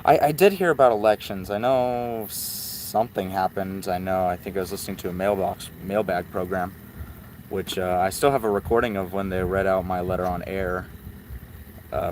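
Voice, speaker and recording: Deep voiced guy, hobbyist host talking off the cuff, kinda hesitant and rambly, noisy background. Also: fairly even intonation, normal speed, a little mellow. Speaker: host, shortwave radio hobbyist. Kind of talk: casual rambling commentary over recordings.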